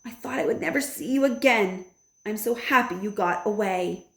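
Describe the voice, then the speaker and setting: A woman voicing a warm, loving old grandmother who speaks calmly and fondly. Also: moderate pace, echoey room, lightly acted. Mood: affectionate. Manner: high, affectionate, calm.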